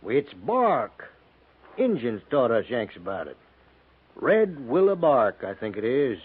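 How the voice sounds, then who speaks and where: A man's voice: mid-range voice, sing-song, slow, husky, heavily acted. An American man playing a dry, teasing older cavalry trooper with a laconic, folksy drawl in a 1950s radio western. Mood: easygoing. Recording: tinny, noisy 1950s radio recording.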